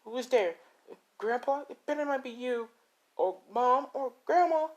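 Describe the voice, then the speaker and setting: A man's voice: mid-range voice, lively intonation, normal speed, natural voice. A man voicing a frightened young boy lost in the woods at night, panicky and pleading. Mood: scared.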